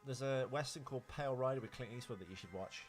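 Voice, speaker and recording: A man's voice: medium pitch, quick pace, music in the background. A man co-hosting a podcast, chatting casually about films in a rambling, jokey way. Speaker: podcast co-host. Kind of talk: casual film chat.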